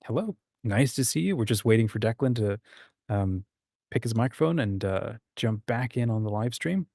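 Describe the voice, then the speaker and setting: A man with a mid-pitched voice chats casually as the host of a livestream. His manner is informal and encouraging, and the sound is close and dry. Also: animated, moderate pace, creaky, warm tone, no room sound. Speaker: host of a writing community livestream.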